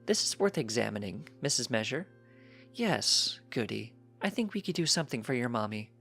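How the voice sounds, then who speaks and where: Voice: medium pitch, very animated, normal speed, creaky, fairly bright tone, faint music behind, full character voice. A narrator voicing a friendly, inquisitive young pony princess, polite, earnest and talkative, in a story reading.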